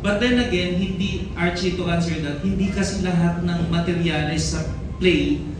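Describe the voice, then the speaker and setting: A man's voice: sits high, steady tone, normal speed. A Filipino man, a film director, giving talkative press conference answers, mixing in English and often tagging on questions. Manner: talkative, code-switching into English, frequent tag questions. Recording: very echoey room, distant mic, loud noise.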